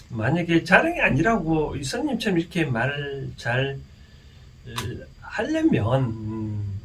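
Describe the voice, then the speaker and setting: A man with a Gyeongsang Korean accent giving an informal explanatory lecture, speaking haltingly and often putting rhetorical questions to his listeners. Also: mid-range voice, a bit bright. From Gyeongsang region, South Korea. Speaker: lecturer and counsellor. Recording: distant mic with faint background noise.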